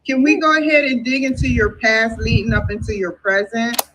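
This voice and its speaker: A woman's voice: on the high side, fairly even intonation, normal speed, background noise. A woman hosting an interview in a casual, informal way, with some fillers. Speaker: host.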